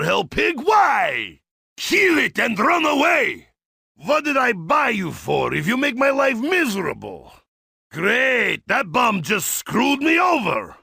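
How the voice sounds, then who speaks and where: An actor with a Russian accent playing a boisterous, crude, drunk Cossack zombie-slayer in a video game, shouting in broken English. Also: male voice, very high voice, gravelly, breathy edge, no room sound, studio quality, exaggerated voice. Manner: shouting gleefully, broken English without articles. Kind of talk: video game character voice lines.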